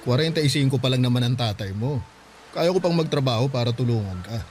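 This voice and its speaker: A man's voice: mid-range voice, expressive, fast talker, darkish tone, a little hiss or hum, close to an everyday voice. An actor playing a hardworking father in his forties, speaking tenderly and reassuringly in a radio drama. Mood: gentle. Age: 40s. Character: hardworking widowed father, mid-forties, gentle.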